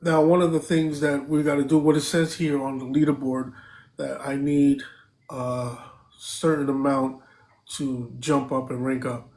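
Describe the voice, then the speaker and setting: A man's voice: mid-range voice, little pitch movement, unhurried, darkish tone. A man hosting a live stream, chatting casually, thanking viewers and stopping often with fillers.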